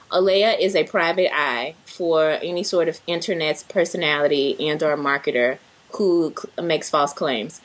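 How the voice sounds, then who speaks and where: A woman's voice: lowish voice, a bit bright. A woman co-hosting a podcast in playful casual chat, fond of breaking into song and silly voices. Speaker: podcast co-host.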